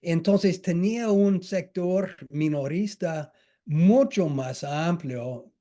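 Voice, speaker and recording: Slow, halting lecturer with a mellow voice. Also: male voice, sits high. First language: not Spanish. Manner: halting, many restarts and fillers. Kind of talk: academic lecture. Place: lecture hall.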